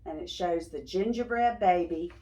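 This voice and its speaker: A woman's voice: lowish voice, moderate pace, warm tone. A woman reading a story aloud to young children as a host, stopping in a teacherly way to prompt them with simple questions.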